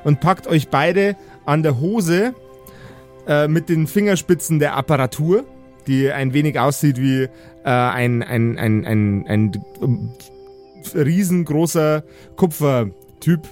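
A man's voice: fairly high pitch, slow pace, darkish tone. A man improvising narration as game master of a tabletop audio play, often searching for his words. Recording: music behind the voice. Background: music.

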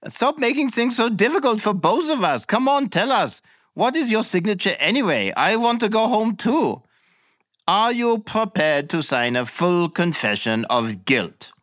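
A man's voice: high-pitched, very animated, measured pace, a bit bright, no room sound, clean studio sound, voice pushed up, exaggerated voice. A man voicing a smug military interrogating officer who coaxes and threatens a prisoner. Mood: wheedling. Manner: coaxing then threatening. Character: smug wheedling military examining officer, menacing.

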